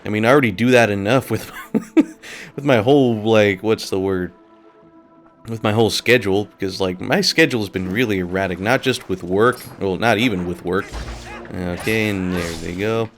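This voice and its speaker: Male let's play host, slightly sick and nasal, rambling casual commentary, lowish voice, faint music under it. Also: moderate pace. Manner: casual, rambling, laughs often. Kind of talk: gameplay commentary.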